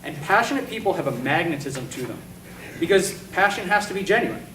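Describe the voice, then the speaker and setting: Male teacher, fairly high voice, earnest prepared speech; distant mic in an echoey hall. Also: big pitch swings, bright, forceful tone, a little hiss or hum. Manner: earnest, measured, with filler ums. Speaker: teacher presenting an award. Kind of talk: prepared award speech at a school assembly. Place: school assembly hall.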